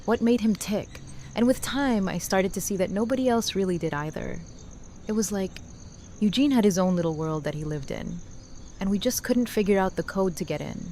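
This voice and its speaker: An actress plays a frank and heartfelt adult woman in a fan audio drama. She speaks plainly and earnestly at a middle pitch, over faint noise. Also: moderate pace, dark tone, lightly acted. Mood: frank, fond. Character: Rosita, adult woman friend giving heartfelt, frank wedding toast.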